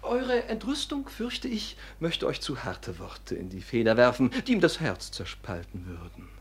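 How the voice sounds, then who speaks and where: An actor playing a scheming, envious younger son in a classical radio play, theatrical and declamatory, with an oily show of devotion. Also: male voice, medium pitch, wildly swooping pitch, unhurried, slightly rough, heavily acted. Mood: urgent persuading. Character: scheming, envious younger son, falsely pious, coldly ambitious.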